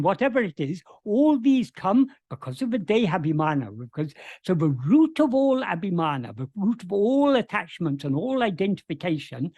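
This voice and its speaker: Earnest man explaining with a lively, high voice. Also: no echo. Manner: earnest, frequent restarts and stammered starts. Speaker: teacher answering questions. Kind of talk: spoken explanation of spiritual teachings. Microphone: video call.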